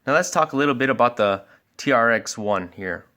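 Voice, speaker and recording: A man with a medium-pitched voice presents a product walkthrough. He explains things step by step in an informal way, like a sales expert showing a customer how a device works. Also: expressive, fairly quick, sharp tone. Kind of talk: casual product review and walkthrough.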